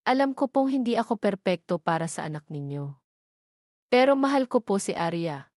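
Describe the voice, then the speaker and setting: A woman's voice: mid-range voice, animated, moderate pace, a little mellow, no echo, studio quality, natural voice. A woman voicing a humble young man in love, earnest and respectful, in an audio drama. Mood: humble, pleading. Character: humble, lovestruck, earnest young male suitor.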